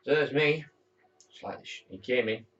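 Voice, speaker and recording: A teenage cadet corporal chatting candidly to camera at home, rambling and swearing now and then. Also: male voice, medium pitch, normal speed, fairly bright tone. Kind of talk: casual talk to camera. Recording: distant, muffled mic.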